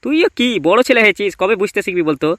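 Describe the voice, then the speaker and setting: A high, bright cartoon lad in a dry recording, voiced by a man. He speaks extremely fast as the streetwise gang sidekick, reassuring his pal and chiding him. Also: very animated, no echo. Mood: consoling. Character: consoling, streetwise young male gang sidekick in a comedy cartoon. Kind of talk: cartoon voice acting.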